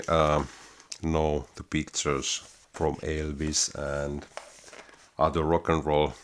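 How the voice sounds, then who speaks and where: Deep, gravelly man. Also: fairly bright tone. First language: Finnish. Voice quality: very low baritone voice. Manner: halting, many "uh" fillers, self-correcting. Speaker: home-studio hobbyist, channel host. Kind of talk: casual gear demo vlog. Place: small home studio room under a sloped ceiling. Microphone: studio mic close up, headphones on.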